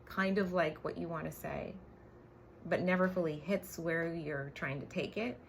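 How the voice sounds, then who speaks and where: A woman's voice: fairly low pitch, steady tone, measured pace, a little hiss or hum. A woman coach giving an emphatic motivational livestream talk, swearing casually and often checking in with short tag questions. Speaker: brand coach and business co-owner.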